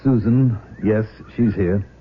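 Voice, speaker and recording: An actor playing a calm, protective middle-aged foster father in a radio drama, with an American accent and a measured, courteous, firm delivery. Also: fairly low pitch. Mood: polite, guarded. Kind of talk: 1970s radio drama acting. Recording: thin, phone-like, noisy vintage radio recording.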